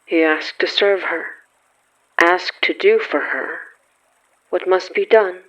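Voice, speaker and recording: A man reading scripted horror fiction as a narrator, solemn and measured with dramatic pauses. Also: high voice, sharp tone, lo-fi sound.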